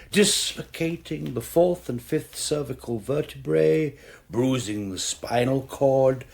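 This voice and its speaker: A British man playing an authoritative, self-assured surgeon in a radio drama, speaking formally and precisely. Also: medium pitch.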